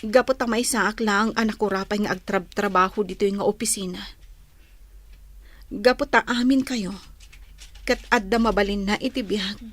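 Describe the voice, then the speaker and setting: An actress playing a meek, self-pitying young office worker in an Ilocano radio drama, hesitant and apologetic. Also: female voice, mid-range voice, fairly even intonation, normal speed, pauses often, fairly bright tone, lightly acted. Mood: hurt, sad.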